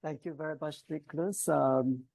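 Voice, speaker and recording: A man's voice: on the high side, steady tone, normal speed, gravelly, darkish tone, no echo. A man from Singapore, a sociologist, giving an eager introduction on a video call. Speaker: sociologist and anthropologist.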